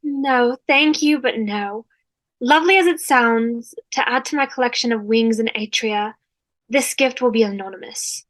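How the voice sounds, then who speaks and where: A woman playing a high-voiced, gracious socialite. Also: measured pace, fairly bright tone. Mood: gracious, lofty. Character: gracious, wordy, name-dropping wealthy socialite woman. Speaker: fan table-read actor. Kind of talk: scripted comedy reading. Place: video call.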